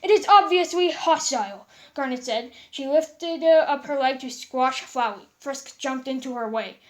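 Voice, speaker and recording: A high-voiced woman narrates a fan story as an amateur read-aloud. She reads with stumbles and repeated words. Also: steady tone, a little slow.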